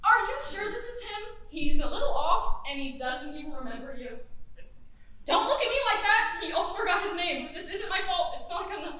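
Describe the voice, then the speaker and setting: A teenage girl as an insecure high-pitched goddess, echoey stage. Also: very animated, fairly quick, sharp tone, heavily acted. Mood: argumentative. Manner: defensive, pleading, emphatic. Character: insecure, eager young goddess of delusion and failure. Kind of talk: high school stage play acting. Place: theater stage, live performance. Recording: echoey stage, distant mic, faint noise.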